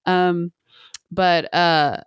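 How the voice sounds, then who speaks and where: A woman's voice: lowish voice, steady tone, drawn-out words, smooth, no echo, studio quality. A woman co-hosting a podcast in casual chat, rambling in a playful way and asking lots of questions. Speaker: podcast co-host. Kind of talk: casual chat about movie trailers.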